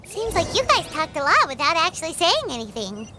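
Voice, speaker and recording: A woman's voice: high-pitched, big pitch swings, fairly quick. A woman voicing a small, perky, childlike fairy companion in a video game, talking about herself in third person. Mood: chatty. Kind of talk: English video game voice acting. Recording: background music under the voice.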